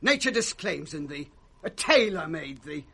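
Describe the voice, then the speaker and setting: An actor playing a blunt, quarrelsome old servant in 1960s British radio Shakespeare, scornful and declamatory. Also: male voice, fairly high pitch, very animated, measured pace, gravelly, sharp tone, slightly rough, full character voice.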